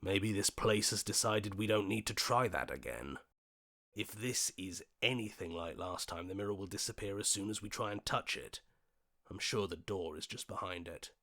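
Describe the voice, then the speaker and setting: A man voicing a level-headed, questioning hero in a video game, speaking earnestly in short deliberate lines. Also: lowish voice, bright, forceful tone. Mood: pondering.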